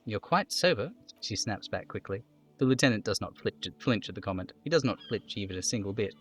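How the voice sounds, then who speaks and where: A man streaming a video game, reading its narration aloud live in a theatrical, deliberate way. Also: lowish voice, soft background music.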